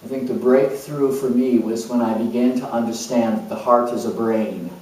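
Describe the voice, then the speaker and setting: A man's voice: mid-range voice, steady tone, normal speed, darkish tone, natural voice. A man playing an eccentric, scatterbrained, idealistic nonconformist in a rambling, emphatic theatrical monologue. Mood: earnest, reflective. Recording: very echoey room, far from mic.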